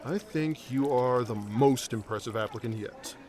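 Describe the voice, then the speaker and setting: A man's voice: mid-range voice, moderate pace, darkish tone, lightly acted. An actor playing a blunt, hard-to-please male adventurer in a fantasy audio drama, speaking in a curt, dry manner. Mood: approving.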